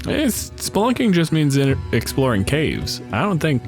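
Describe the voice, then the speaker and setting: A man's voice: on the high side, huge pitch swings, a little slow, faint music behind. A man narrating a tabletop roleplaying game as game master, in a casual, conversational way with offhand swearing.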